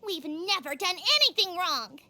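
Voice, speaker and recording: Super high bright little creature girl, sweet earnest game character, bouncy intonation. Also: lively intonation, a little hiss or hum, heavily acted. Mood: pleading, defiant. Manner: innocent, halting, sincere. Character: earnest, childlike small female Melusine, a gentle fantasy creature. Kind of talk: video game voice acting.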